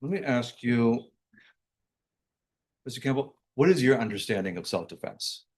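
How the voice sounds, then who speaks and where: A man's voice: medium pitch, expressive, moderate pace. A man working as a prosecutor, cross-examining a witness in court in an informal, probing, plain-worded way.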